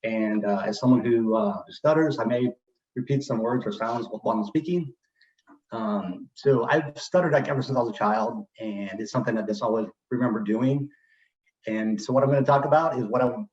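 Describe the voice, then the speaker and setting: A man's voice: fairly low pitch, darkish tone. A man who stutters, giving a casual personal talk about his experience, with fillers and light repetitions. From Texas, US. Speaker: presenter at a speech celebration. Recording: roomy, distant, dull sound.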